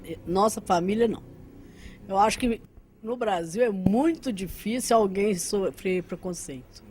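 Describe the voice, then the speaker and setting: Female guest, Arabic mother tongue, medium pitch, recalling her life conversationally and earnestly, with hesitant restarts. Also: unhurried, a little mellow, breathy edge. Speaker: interview guest. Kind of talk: personal recollection in conversation.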